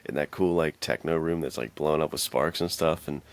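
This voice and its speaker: A man's voice: a bass voice, a little fast, a little hiss or hum. A man co-hosting a podcast, chatting casually in laid-back, slangy fan talk. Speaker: podcast co-host. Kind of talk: casual chat about films and games.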